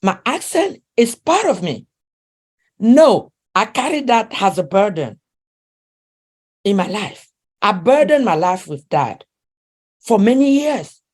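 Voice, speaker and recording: A woman's voice: mid-range voice, sing-song, slow, long pauses. A woman speaking English with a non-native accent, a relationship coach talking earnestly in an interview, often restarting sentences. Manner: earnest, with frequent restarts and soft laughs. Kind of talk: conversational interview.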